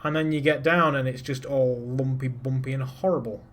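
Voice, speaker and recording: A man's voice: mid-range voice, steady tone, moderate pace. A man giving casual, self-deprecating live commentary to his viewers while playing a space game. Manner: chats to viewers while piloting. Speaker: streamer.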